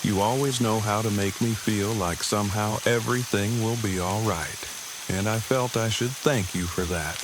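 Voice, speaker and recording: A low-pitched male survivor, played by an actor in an audio drama. He talks in stiff, precise, wordy sentences with a dry, earnest formality. Faint rain sounds sit behind his voice. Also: normal speed, background noise, close to an everyday voice. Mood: earnest, tender. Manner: stiff, precise, long-winded wording, dry. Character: formal, verbose, earnest man surviving an apocalypse. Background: rain ambience.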